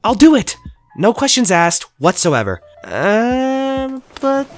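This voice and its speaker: A cheerful teenage boy sorcerer teases his friend in a fan audio drama, voiced by a woman. His voice rises and falls with animated swings and takes its time over each line. Faint music plays underneath. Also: fairly high pitch, takes it slowly. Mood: playful. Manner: playful, teasing.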